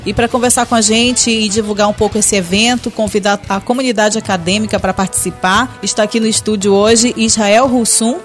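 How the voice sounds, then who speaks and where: A woman's voice: mid-range voice, steady tone, brisk, few pauses. Brazilian woman hosting over music. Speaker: radio host interviewer. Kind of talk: conversational radio interview. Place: radio studio.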